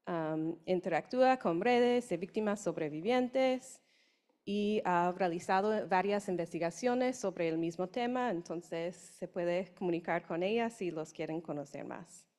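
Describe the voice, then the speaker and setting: A woman in her forties, a non-native speaker, introducing panelists at a conference with a handheld mic, halting and hesitant. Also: lowish voice, darkish tone. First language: English. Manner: hesitant, halting, slow with restarts. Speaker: session moderator. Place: conference room on stage.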